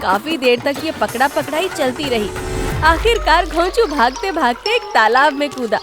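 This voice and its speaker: A woman's voice: high-pitched, animated, moderate pace. A woman delivering scripted narration for a cartoon story. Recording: loud music behind.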